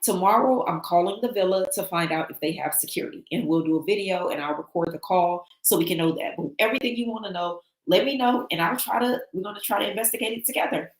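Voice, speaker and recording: A woman hosting a livestream in casual chat, animated, reading viewer comments aloud, her voice a little raspy from travel. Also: mid-range voice, fast talker, hardly any pauses, reverberant, low-quality recording. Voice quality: slightly raspy voice. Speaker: livestream host.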